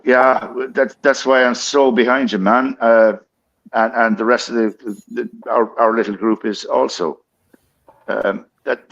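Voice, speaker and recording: A man in his fifties on a casual panel chat, speaking haltingly with many fillers. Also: fairly low pitch, creaky, lo-fi sound. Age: 50s.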